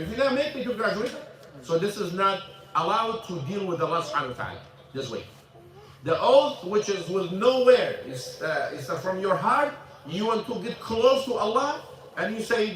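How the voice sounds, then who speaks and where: High-voiced imam teaching in an echoey mosque. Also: male voice, a bit bright, a little hiss or hum. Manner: halting, repeats words, switches into Arabic. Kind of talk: informal religious lesson with questions. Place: mosque classroom. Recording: echoey room, mic at a distance.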